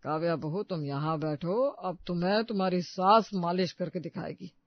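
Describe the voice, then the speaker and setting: Man acting an older father-in-law, mischievous, encouraging, cartoon family story, high male voice, dry lo-fi recording. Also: moderate pace, dry recording. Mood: sly. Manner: encouraging, playful.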